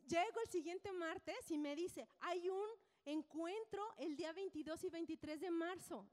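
A woman gives a personal testimony to a congregation, telling it as an animated, colloquial story with repeated phrases and acted-out dialogue. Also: high-pitched. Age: 50s. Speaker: church speaker giving testimony. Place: large indoor hall, speaking to an audience. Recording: church hall with long reverb.

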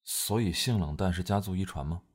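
A man acting an aloof young male officer in an audio drama, terse and cool with a guarded soft side. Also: low-pitched, a little fast. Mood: reserved.

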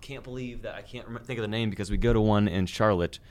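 A man's voice: lowish voice, quick pace. A man co-hosting a podcast, chatting in an easygoing, agreeable way. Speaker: podcast co-host. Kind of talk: casual chat.

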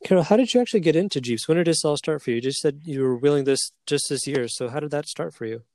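A man hosting a casual podcast, relaxed and easygoing as he introduces the guest. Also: fairly high pitch, quick pace, dry recording, studio quality. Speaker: host.

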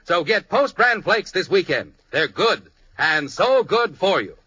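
High-pitched, animated vintage radio announcer man. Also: a little slow, background noise. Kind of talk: 1950s radio scripted announcing. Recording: music bed behind voice. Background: music.

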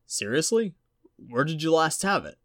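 A man voicing a calm, reassuring young man. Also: on the high side, moderate pace, no echo, clean studio sound, pitched above the performer's own voice.

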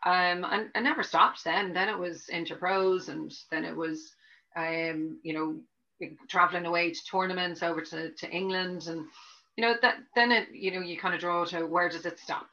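Bright-voiced Dublin woman, lo-fi. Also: fairly low pitch, fairly even intonation, normal speed. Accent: Dublin Irish. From Ireland. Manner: chatty, rambling, with frequent restarts and fillers. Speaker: tennis coach. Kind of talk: conversational interview answers. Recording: dull lo-fi audio.

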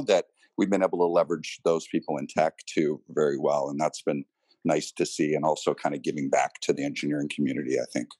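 Man, low pitch, dry recording, conversational interview guest. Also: a little mellow, no echo. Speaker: tech team leader, interview guest.

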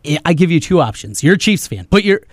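A male sports radio co-host with a fairly high-pitched voice. He speaks in a conversational commentary style, close to the mic in a dry studio. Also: sing-song, quick pace, dry recording. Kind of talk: sports talk banter and commentary. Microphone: close studio broadcast microphone.

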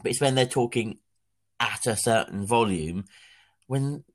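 A man's voice: medium pitch, unhurried. Guy chatting on a podcast, stumbles over his words a lot, laughs easy. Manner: stammering restarts, laughs often, animated bursts. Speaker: podcast co-host. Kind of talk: casual chat.

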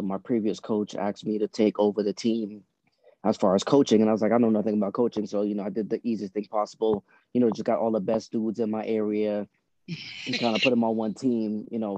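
A man's voice: lowish voice, level tone, brisk, warm tone, no room sound, lo-fi sound. A man who grew up in Boston, a basketball coach, giving casual, conversational answers as a podcast guest. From born in Trinidad, grew up in Boston from age six. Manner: conversational, frequent "you know".

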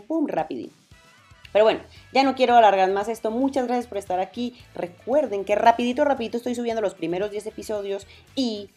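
A woman's voice: medium pitch, warm tone, breathy edge. A woman from Colombia wrapping up her podcast alone, chatty and rambling, laughing at her own detours. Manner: chatty, rambling, self-deprecating. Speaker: podcast host. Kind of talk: solo outro to camera. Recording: faint music underneath.

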